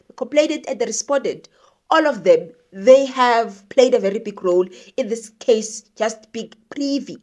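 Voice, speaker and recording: A woman's voice: a little high, a little mellow. A South African woman giving opinionated, conversational news commentary, thinking aloud and posing rhetorical questions. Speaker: commentator, show host.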